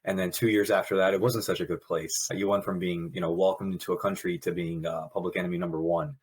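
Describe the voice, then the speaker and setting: A man's voice: deep voice, slightly rough. A man in his thirties, born in Pakistan and raised in Brooklyn, talking candidly as a podcast guest. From Pakistan, raised in Brooklyn, New York. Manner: candid, animated storyteller with quick laughs. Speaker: guest, nurse anesthetist. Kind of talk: podcast interview.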